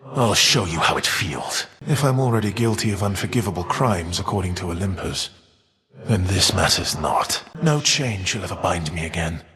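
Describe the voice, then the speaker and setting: An actor playing a proud, vengeful, defiant Titan of fire in a video game, speaking with grave, haughty, archaic formality. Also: male voice, sits low, lively intonation, moderate pace, fairly bright tone. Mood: vengeful menace. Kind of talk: dramatic video game villain voice acting. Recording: long reverb tail.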